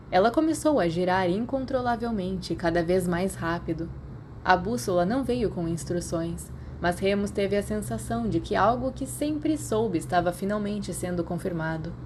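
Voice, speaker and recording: A woman's voice: fairly low pitch, darkish tone, a little hiss or hum. A woman with a Brazilian accent reading scripted fiction as an audiobook narrator. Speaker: podfic narrator.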